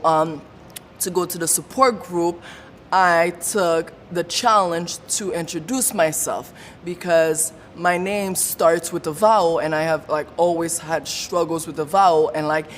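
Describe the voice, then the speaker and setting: Slow-speaking woman who stutters. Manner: stutters with repeated sounds, blocks and pauses. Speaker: support group member sharing her story. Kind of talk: personal testimony. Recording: long room reverb with faint low rumble.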